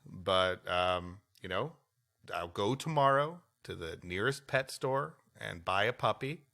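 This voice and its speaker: A man's voice: fairly low pitch, lively intonation, a little slow, vocal fry, pushed and bright. A man co-hosting a comedy podcast, reading history aloud in mock-solemn fashion and breaking off for improvised joking asides. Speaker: podcast co-host.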